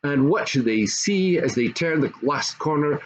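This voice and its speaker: A man's voice: fairly high pitch, lively intonation, normal speed. An older man reading a classic fairy story aloud as a storybook narrator. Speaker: storybook reader. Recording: dull home recording with faint noise.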